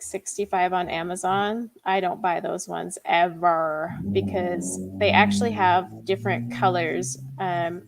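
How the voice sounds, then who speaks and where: A woman hosting a live coloring chat stream, talking casually with lots of fillers and frequent laughter. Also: lowish voice. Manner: chatty, laughs often, many fillers.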